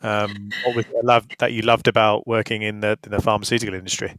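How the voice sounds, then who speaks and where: A man's voice: on the low side, little pitch movement, fast talker, a bit bright, no echo, low-quality recording. A man hosting an interview podcast who asks curious questions and keeps up short, encouraging replies.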